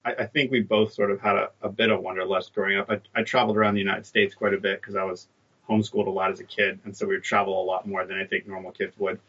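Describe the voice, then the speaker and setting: A man's voice: lowish voice, little pitch movement, fast, a bit bright, slightly rough. A man in his thirties, a guest telling stories in an easygoing, self-deprecating way. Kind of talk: conversational interview. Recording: dull remote line.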